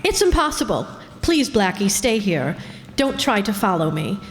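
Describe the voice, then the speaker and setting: A woman's voice: mid-range voice, sing-song, normal speed, a little hiss or hum, natural voice. A woman playing a headstrong, anxious young society woman in an old-time radio crime drama. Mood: pleading. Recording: echoey hall.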